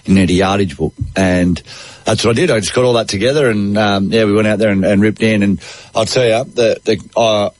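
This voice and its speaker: A man's voice: fairly low pitch, a little fast, poor audio. A middle-aged man with an Australian accent, hosting casual sports radio chat in a matey, conversational way. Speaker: sports radio host and golf commentator. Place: radio studio.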